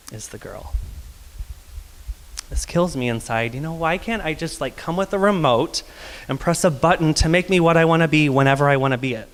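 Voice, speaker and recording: A man from Texas with a soft, feminine-sounding voice, telling a personal story on stage in a confessional, wry way with light laughs. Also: medium pitch, slight background noise. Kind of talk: live personal storytelling monologue. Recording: long reverb of a performance hall.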